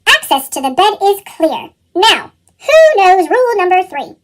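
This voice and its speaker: Lady doing a bossy storybook monster teacher, formal and scolding, squeaky high chipmunk effect, swoopy delivery. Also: sing-song, measured pace, heavily acted. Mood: instructive. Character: strict monster teacher, male, instructing young monsters. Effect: chipmunk pitch-shifted voice.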